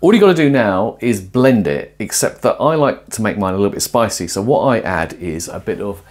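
A man in his forties talking to camera while cooking in a small home kitchen, conversational and musing, with lively emphasis. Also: lowish voice, fairly quick. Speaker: presenter of a cooking and philosophy video. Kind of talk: casual explanatory talk to camera while cooking. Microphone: lapel mic.

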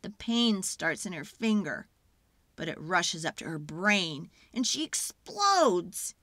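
A woman narrating a children's storybook in a dramatic, expressive and deliberate way. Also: lowish voice, no echo. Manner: expressive, deliberate, acts out sounds and feelings. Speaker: children's book read-aloud narrator.

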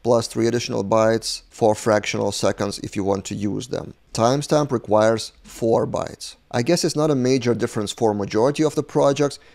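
A middle-aged man whose voice is affected by flu, explaining things in a measured, plain-spoken screencast tutorial. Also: mid-range voice, creaky, darkish tone. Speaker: programming tutorial host. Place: indoors, webcam beside screen recording.

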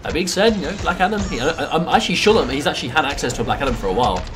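A British man with a head cold and a congested voice, doing quick analytical commentary on a fighting game. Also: on the high side, expressive, noisy background. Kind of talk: esports commentary.

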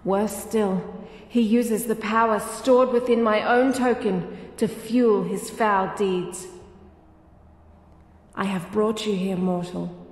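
A woman's voice: medium pitch, steady tone, slow pace, a little mellow, natural voice. A woman voicing an imperious, stately goddess in a fantasy game, speaking formally and solemnly. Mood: indignant. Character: imperious female goddess, stately, wrathful, commanding. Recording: echoey hall-like reverb.

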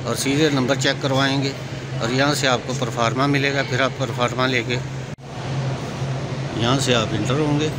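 A man with a Pakistani accent giving a plain, step-by-step explanatory walkthrough as a guide. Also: medium pitch. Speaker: vlogger and guide. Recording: very noisy, traffic and ventilation hum.

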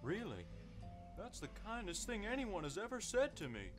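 Lilting, polite guinea pig. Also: male voice, high-pitched, wildly swooping pitch, moderate pace, over music. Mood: grateful, unsure. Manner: gentle, hesitant, courteous. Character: polite, naive, kindly male guinea pig, trusting. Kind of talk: cartoon adventure game voice acting.

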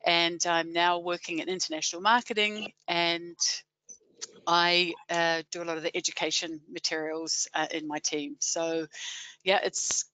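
A woman's voice: fairly low pitch, flat delivery, measured pace, sharp tone, clean tone, dry recording, poor audio. A woman with a New Zealand accent, a webinar guest chatting casually about her work, with frequent fillers. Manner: friendly, hesitant with many fillers. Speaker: guest, education and marketing staff.

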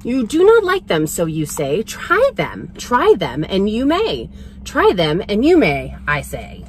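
Woman acting male food pusher, storybook, persistent, coaxing, rhyming questions, very varied pitch. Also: huge pitch swings, a little slow, a little hiss or hum, rough recording. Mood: eager coaxing. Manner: coaxing, sing-song rhymed questions. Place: inside a parked vehicle.

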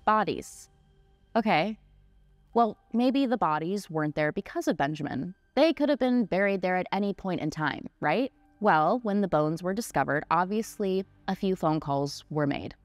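A woman's voice: on the low side, animated, normal speed, no room sound. A woman in her twenties hosting a history show, giving scripted narration in a conversational, dramatic way with casual asides. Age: 20s. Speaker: host of a history mystery show. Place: small indoor studio set. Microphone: desk microphone, close. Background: music.

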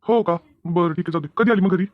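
Dull lo-fi audio, cartoon family drama, actor as young husband, fast, easygoing, caring, teasing. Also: mid-range voice, no room sound, natural voice. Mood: casual, concerned.

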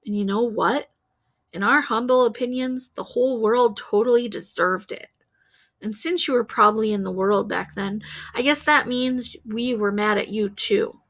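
A woman's voice: fairly high pitch, moderate pace, fairly bright tone. A woman reading a children's book aloud expressively as a storyteller. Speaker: read-aloud reader.

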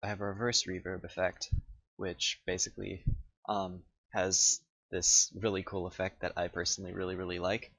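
A man who develops software giving a casual screencast demo of his own program in a hesitant, low-key way. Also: little pitch movement, moderate pace, no echo, low-quality recording.

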